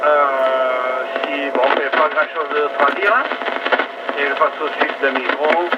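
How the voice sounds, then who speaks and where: A man's voice: on the high side, steady tone, measured pace. A man chatting casually on amateur radio from inside a vehicle, heard over a two-way transmission. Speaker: mobile amateur radio operator. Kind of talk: casual ham radio chat. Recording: muffled, very noisy VHF radio relay.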